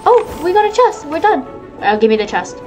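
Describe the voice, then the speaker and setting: A woman's voice: high-pitched, very animated, brisk. A woman doing casual gameplay commentary, chattering reactively and exclaiming as she goes. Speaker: gaming video host. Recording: loud game audio and music behind.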